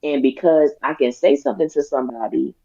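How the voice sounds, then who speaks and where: A woman with a raspy voice, co-hosting a casual discussion podcast and talking in a deliberate, emphatic way. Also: deep voice, mellow, no echo. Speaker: podcast co-host. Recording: dull, lo-fi sound.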